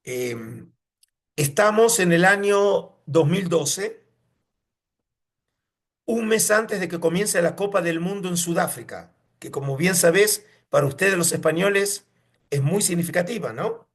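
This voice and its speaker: Higher-pitched Argentine man telling anecdotes. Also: lively intonation, unhurried. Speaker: museum curator, interview guest.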